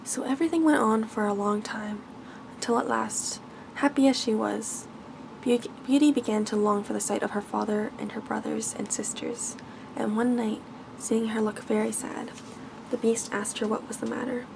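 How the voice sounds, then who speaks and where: A soft-spoken woman gently reading a bedtime story in an ASMR style, measured and now and then stumbling over words. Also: fairly high pitch, fairly even intonation, gravelly, background noise. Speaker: ASMR host reading aloud.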